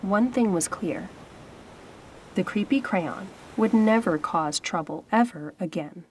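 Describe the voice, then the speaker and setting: A woman narrating a children's storybook aloud in a dramatic, suspenseful way with deliberate pauses. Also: mid-range voice, lively intonation, darkish tone, slight background noise. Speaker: storybook reader. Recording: faint background music. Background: faint music.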